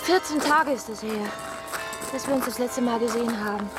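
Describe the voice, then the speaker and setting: A woman's voice: a little high, normal speed, over loud music, lightly acted, low-quality recording. An actress playing a poised, guarded young society woman in a radio drama, coolly questioning and coy. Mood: guarded, curious.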